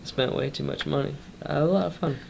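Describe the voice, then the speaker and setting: Stuffy, gravelly-voiced young man rambling. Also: fairly high pitch, fairly even intonation, fast talker, a little hiss or hum. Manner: loose and chatty, full of ums and trailing asides. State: stuffed up from a cold; tired after a long work shift. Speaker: vlogger. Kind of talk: casual rambling update.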